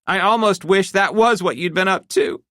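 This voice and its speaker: A man's voice: high-pitched, moderate pace, sharp tone, no echo, studio quality, pitched above the performer's own voice. A man voicing a distraught, betrayed wife who accuses her husband in a shaking voice that rises to shrieks. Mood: tearful, bitter. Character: distraught betrayed middle-aged wife, shrill.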